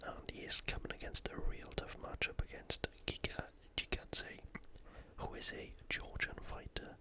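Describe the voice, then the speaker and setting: ASMR host in a breathy whisper. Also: normal speed. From United Kingdom. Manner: informal, opinionated commentary. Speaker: host of an ASMR fight-preview show. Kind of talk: ASMR, whispered sports preview.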